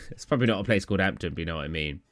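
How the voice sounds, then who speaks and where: Tired, rambling young streamer. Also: male voice, low voice, animated, very fast, no room sound, faint music behind. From southern England. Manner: rambling, chatty, answers chat questions. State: tired, low energy. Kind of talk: casual live-stream Q&A chat.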